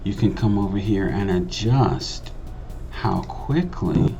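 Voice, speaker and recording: A man with a low, creaky voice talks through a tutorial as a casual voice-over. He explains things conversationally and repeats phrases to drive them home, with faint music underneath. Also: slow pace, highs cut off. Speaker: host of a video editing tutorial channel.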